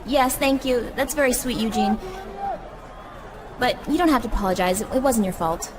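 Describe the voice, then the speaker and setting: A woman's voice: on the high side, moderate pace, a crowd in the background, close to an everyday voice. An actress playing a flirtatious, manipulative female wrestling champion in a video game, sugary and coaxing, in noisy audio.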